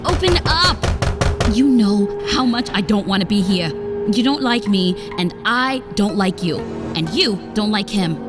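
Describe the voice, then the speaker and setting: A woman's voice: mid-range voice, lively intonation, a little slow, lightly acted. A woman playing an urgent, hostile character in a comic book dub, curt and insistent. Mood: urgent, annoyed. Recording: loud music behind voice.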